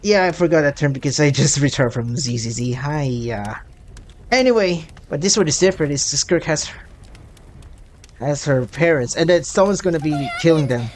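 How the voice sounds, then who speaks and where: A game streamer reacting casually, thinking aloud in a halting way. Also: medium pitch, expressive.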